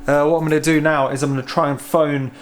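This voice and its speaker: A British man giving casual, excitable hands-on commentary as he tinkers with gadgets, thinking aloud informally. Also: on the high side, fast. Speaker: host of a vintage technology museum channel.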